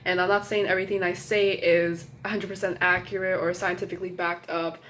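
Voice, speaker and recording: Young woman, low voice, chatting to a phone. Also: little pitch movement, a little fast, a bit bright, slight background noise. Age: young adult. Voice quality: slightly nasal. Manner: hesitant, apologetic, many fillers and restarts. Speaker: college student vlogger. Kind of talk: casual rambling chat to camera. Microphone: phone camera.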